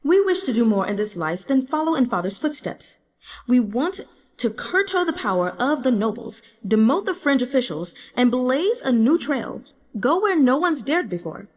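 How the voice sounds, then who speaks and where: A woman's voice: high voice, sing-song, moderate pace, long reverb tail. A woman voicing a proud, resolute emperor who speaks formally and imperiously in an audiobook. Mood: impassioned, insistent. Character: proud, resolute young male emperor plotting bold reforms.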